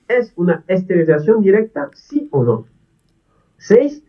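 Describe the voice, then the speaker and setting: A man who is not a native Spanish speaker, talking as a priest in a video appeal. His voice sits high and moves a lot as he pleads earnestly and repeats his questions. It sounds dull and far from the mic. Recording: distant dull lo-fi video audio.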